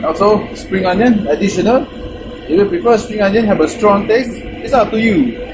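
A man's voice: high-pitched, normal speed. Chatty man cooking, traffic noise. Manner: chatty, simple phrasing, playful sing-song repeats. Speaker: cooking show host. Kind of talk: casual recipe tutorial. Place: small indoor room.

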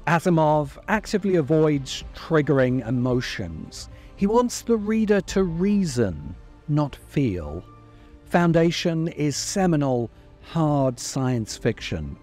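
A middle-aged man delivering a scripted video essay in measured, emphatic, dramatic phrases, close to the microphone. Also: fairly high pitch, dark tone. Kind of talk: scripted narration, long-form commentary. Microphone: desk microphone close in front. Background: background music.

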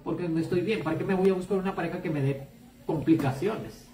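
A woman's voice: lowish voice, quick pace, background noise. A woman with a Mexican accent, a psychic giving blunt, outspoken advice in a casual live chat. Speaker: psychic and tarot reader. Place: home room, indoors. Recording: echoey room, far from mic.

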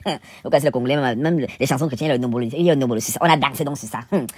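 Husky, very fast-talking Cameroonian man. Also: mid-range voice, darkish tone, dry recording, clean studio sound. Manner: theatrical, exclamatory, playfully indignant, with repetitions and interjections. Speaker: cooking vlogger and narrator. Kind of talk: casual, chatty storytelling voice-over.

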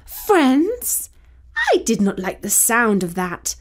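A woman's voice: fairly high pitch, huge pitch swings, slow, exaggerated voice. A woman voicing a proud, vain magical cat narrating a children's story, with a haughty, dramatic manner. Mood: indignant.

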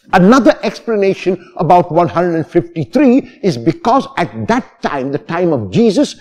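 A man in his sixties, a priest, preaching a homily in a deliberate, emphatic manner with phrases repeated for stress. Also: high-pitched, a little mellow. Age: 60s. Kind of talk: homily, expository sermon. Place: church, at the lectern.

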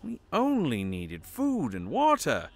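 A man's voice: mid-range voice, wildly swooping pitch, takes it slowly, heavily acted. A man playing a grim sailor in a fantasy audio drama. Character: grim male sailor recounting lost crewmates.

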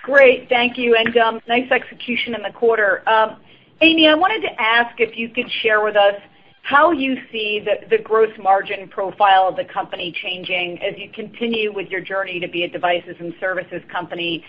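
A woman's voice: medium pitch, steady tone, moderate pace. A woman working as a financial analyst, putting a question on an earnings conference call over a phone line.

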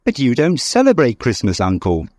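A man plays a happy, warm-hearted young nephew from a storybook. He sounds eager and earnest, with a raised pitch that leaps about very expressively. The recording is dry and close. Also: wildly swooping pitch, moderate pace, no echo. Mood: pleading. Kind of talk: audiobook character reading.

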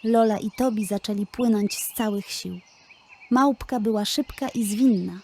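A woman's voice: mid-range voice, normal speed, a little mellow, slight background noise. A woman narrating a scripted bedtime story for children.

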